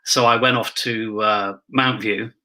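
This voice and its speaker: Bright-voiced older actor, drily theatrical. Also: male voice, lowish voice, steady tone, unhurried. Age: 60s. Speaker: actor and pantomime dame, interview guest. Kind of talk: casual anecdotal chat.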